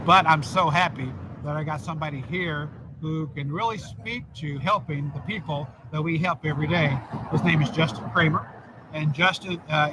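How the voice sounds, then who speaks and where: A slightly hoarse man hosting an interview outdoors, friendly and rambling, often repeating words. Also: a little high. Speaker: host. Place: outdoors on a bench by a street. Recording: street noise behind.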